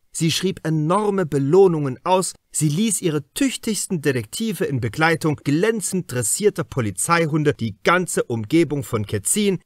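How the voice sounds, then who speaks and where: A man narrating a crime novel as a scripted audiobook, deliberate and with dramatic emphasis. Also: a little high, no echo, studio quality.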